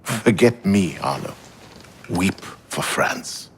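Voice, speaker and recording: An actor playing a weary, sardonic older mentor in a video game, with a husky voice and a dry, oratorical manner. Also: male voice, low voice, expressive, takes it slowly, a little hiss or hum. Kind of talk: video game cutscene acting.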